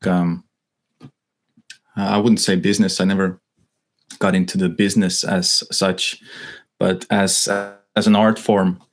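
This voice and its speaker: Slow, halting low-voiced man from Estonia. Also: little pitch movement. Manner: halting, many restarts and fillers, thoughtful. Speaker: guest, writer and musician. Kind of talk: casual interview over a call.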